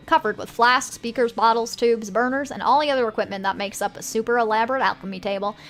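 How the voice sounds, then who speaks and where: A woman's voice: fairly high pitch, fairly bright tone. A woman with a put-on Southern US accent, casually commenting on a game and reading its text aloud. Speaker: game streamer.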